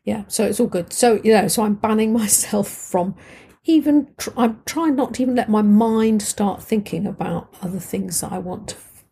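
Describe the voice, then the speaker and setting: A middle-aged woman with a husky, slightly croaky voice, hosting a podcast in a chatty, informal, rambling way. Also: medium pitch, moderate pace, warm tone, slight background noise. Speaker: podcast host. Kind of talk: casual conversational podcast hosting.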